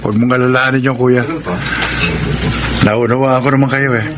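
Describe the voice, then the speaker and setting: A Filipino actor playing a defensive, soft-hearted husband in a radio drama. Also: male voice, mid-range voice, level tone, a little fast, close to an everyday voice. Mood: calm, understanding. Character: defensive, soft-hearted husband loyal to his older sister. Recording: noisy radio drama recording.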